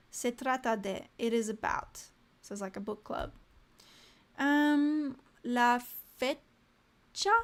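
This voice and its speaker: A young woman on a casual live stream, reading vocabulary words aloud one by one, muttering asides to herself and chatting cheerfully with viewers. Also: on the high side. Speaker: language learner streaming live.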